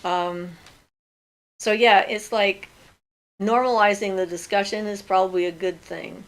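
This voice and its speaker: Gravelly-voiced older woman. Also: medium pitch, unhurried, low-quality recording. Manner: relaxed and chatty, thinking aloud with fillers. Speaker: host of a magic Q&A livestream. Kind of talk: casual livestream chat, reading viewer questions. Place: home.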